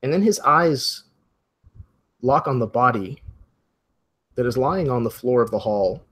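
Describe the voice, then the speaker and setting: A man acting as game master, improvising narration and giving players instructions in measured short phrases with pauses. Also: mid-range voice, lively intonation, a bit bright.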